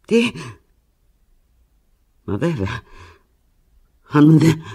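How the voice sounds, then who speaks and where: Radio play lead: heartbroken woman clinging to her lover by phone, husky, intense, fragmented delivery. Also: big pitch swings, full character voice. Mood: anguished, pleading. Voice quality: low, husky. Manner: intense, fragmented, swinging from hushed to anguished. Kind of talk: radio drama monologue, one side of a phone call.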